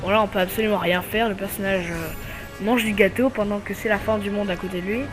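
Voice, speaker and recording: A man's voice: high-pitched, fairly even intonation, music in the background. A man with a slight cold giving casual, hesitant commentary over his own gameplay as channel host. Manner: hesitant, many filler pauses.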